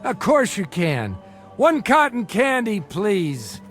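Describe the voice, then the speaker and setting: A high-pitched, pleading, emotional father. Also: wildly swooping pitch, slow, a bit bright, soft background music, heavily acted. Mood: playful, covering up. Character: desperate, remorseful middle-aged father, weak-willed small-time hustler. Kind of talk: dramatic radio-play acting.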